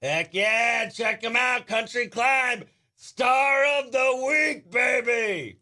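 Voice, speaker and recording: Excitable radio host guy, high bright voice, breezy chat and shoutouts. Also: takes it slowly. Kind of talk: livestream radio show chatter, shout-outs to viewers.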